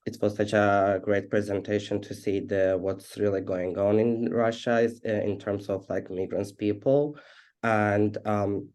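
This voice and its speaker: A man's voice: fairly low pitch, mellow. A man from Azerbaijan giving a webinar presentation over a video call, speaking with many fillers and restarts. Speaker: HIV activist presenter.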